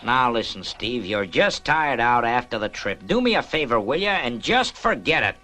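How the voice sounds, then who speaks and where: An actor playing an exasperated, brusque space base commander in a 1960s puppet series. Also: male voice, medium pitch, very animated, moderate pace, bright, forceful tone.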